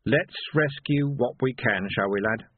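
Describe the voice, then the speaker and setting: Man playing a gruff veteran sergeant. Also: medium pitch, a little slow. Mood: steadying. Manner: barking orders, blunt. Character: gruff veteran male sergeant, commanding, weary. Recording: dry, tinny phone-like sound.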